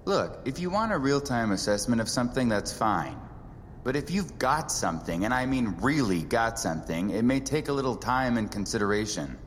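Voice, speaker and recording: A sharp, defiant appraiser of rarities in an audio drama. The voice is low, wry and plain-spoken. It sits in a long reverb with music clearly underneath. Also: male voice. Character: blunt, sardonic male antiquities appraiser, sharp and defiant.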